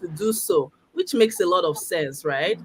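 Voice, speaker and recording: A woman's voice: lowish voice, animated, measured pace, a little hiss or hum. A woman hosting an online chat show, talking straight to her viewers with enthusiasm. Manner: enthusiastic, addresses viewers directly. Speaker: host.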